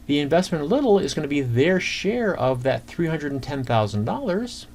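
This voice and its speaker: A man's voice: on the high side, big pitch swings, a little mellow. Man teaching accounting over noisy typing. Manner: conversational, halting, talks through figures step by step. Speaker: accounting instructor. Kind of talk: informal screencast lesson, explaining worked calculations. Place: indoors at a computer. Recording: clear background noise, keyboard typing.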